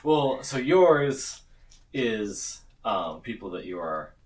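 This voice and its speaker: A man's voice: lowish voice, sing-song, takes it slowly. A man acting as game master, casually explaining tabletop game rules to players, picked up from a distance. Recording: distant mic pickup.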